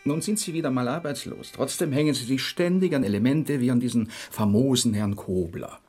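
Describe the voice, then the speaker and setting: Glib, self-important bohemian schemer played by a man, medium-pitched, pompous and lecturing, radio drama with music under. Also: brisk, mellow, slightly rough, natural voice. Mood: lecturing, reproachful. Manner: pompous, affectedly educated, lecturing. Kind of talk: radio play acting.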